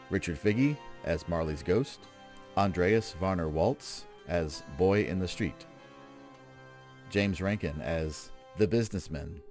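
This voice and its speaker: A man with a very low voice announces as the host of a radio drama. His scripted delivery is theatrical and old-fashioned, with lively swings in pitch. Soft music runs underneath.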